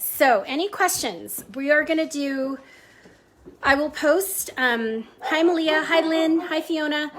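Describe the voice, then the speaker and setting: A woman's voice: high-pitched, noisy background. Chatty woman hosting a home cooking stream. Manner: chatty, greets viewers by name, easily sidetracked. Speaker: host of a cooking livestream. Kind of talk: casual live cooking chat. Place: home kitchen.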